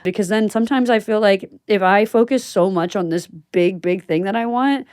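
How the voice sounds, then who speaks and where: A woman's voice: medium pitch, moderate pace, darkish tone, smooth, no room sound, studio quality. A woman hosting a podcast in a casual, chatty interview style with informal, conversational phrasing. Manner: informal and chatty, frequent "like" and "you know". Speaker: podcast host.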